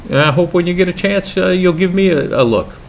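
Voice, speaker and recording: An older man with a Brooklyn accent, talking casually and haltingly about his hobby in a home vlog, with many pauses and restarts. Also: high-pitched, gravelly, slight background noise, poor audio. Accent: New York (Brooklyn). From Brooklyn, New York. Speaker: pipe maker vlogger.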